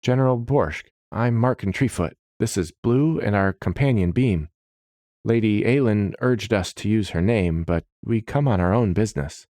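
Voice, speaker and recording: A young treefolk adventurer acted by a male narrator in a fantasy tale, pitched up from a deep natural voice. He sounds polite and earnest. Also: normal speed, mellow, no echo, studio quality, lightly acted. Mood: earnest, formal.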